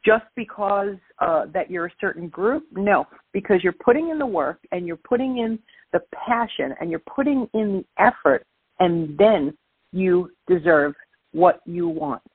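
A woman's voice: on the low side, measured pace, husky, no echo. A middle-aged woman from Brooklyn with a Brooklyn accent, a guest calling in to an interview and speaking energetically and emphatically. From Brooklyn, New York. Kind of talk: phone-in interview, casual. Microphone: phone line. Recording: tinny phone line.

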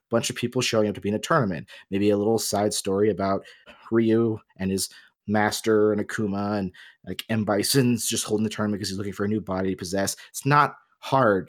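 A man co-hosting a casual discussion, explaining his opinions emphatically. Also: on the low side. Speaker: podcast co-host.

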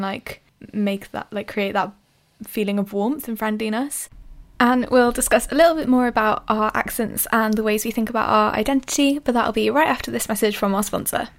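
A woman hosting a podcast, chatty and casual, often saying "like". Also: medium pitch. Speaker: podcast host. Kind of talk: casual discussion.

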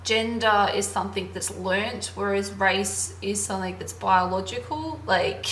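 An Australian woman with a slight lisp chats casually as a podcast co-host, giggly and thinking out loud. Her voice sits at an ordinary middle pitch. The recording is roomy and echoey, with faint ambient hiss behind. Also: unhurried. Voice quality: slightly lispy. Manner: chatty, giggly, thinking aloud.

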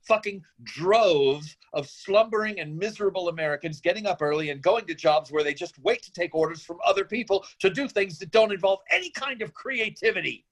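A man's voice: sits high, brisk, few pauses. A man acting an idealistic, defensive drifter father in a screenplay reading, speechifying earnestly in a rambling way. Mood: impassioned, despairing. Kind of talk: screenplay table-read acting.

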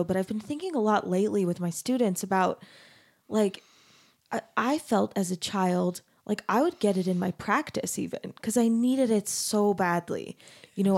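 A woman hosting a casual interview, a musician and teacher, asking questions with many quick encouraging affirmations. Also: mid-range voice, darkish tone. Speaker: podcast host and music teacher.

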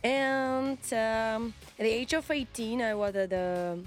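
A woman chatting casually as an interview guest, in informal, slightly halting English. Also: a little high. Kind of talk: casual chat.

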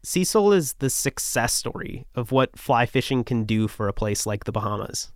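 A man in his twenties, a podcast host, narrating a scripted story in a measured way. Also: medium pitch, lively intonation. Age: 20s.

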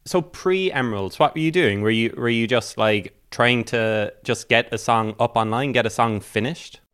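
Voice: mid-range voice, moderate pace, fairly bright tone, smooth. An interviewer asking casual follow-up questions. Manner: curious, follows up on answers. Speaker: interviewer, host.

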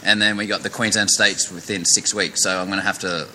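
A low-pitched Australian man with a voice gone croaky from yelling. His tone is strikingly bright and sharp. He talks in a relaxed way, laughing often. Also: a little fast, slight background noise, poor audio. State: hoarse from yelling. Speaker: sailor, helmsman.

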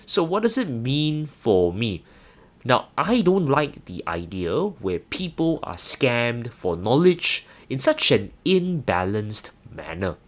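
A young man with a Singaporean accent hosting a solo personal finance podcast, earnest and measured, with occasional hesitant fillers. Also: mid-range voice, sing-song. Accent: Singaporean English. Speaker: podcast host.

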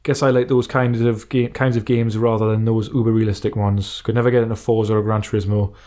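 Low, mellow-voiced man chatting casually. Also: little pitch movement, fast talker. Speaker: podcast co-host. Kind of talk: casual chat about retro racing games, reading out listener emails.

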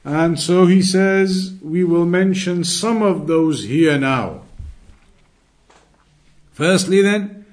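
A man giving an Islamic lesson, reading texts aloud and explaining them deliberately, repeating phrases for emphasis. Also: fairly high pitch. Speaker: religious teacher.

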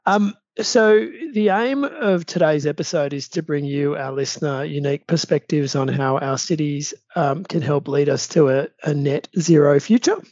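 A man hosting a podcast, reading a prepared introduction in a measured way. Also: on the high side, a little mellow, dry recording, highs cut off. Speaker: podcast host, law firm partner.

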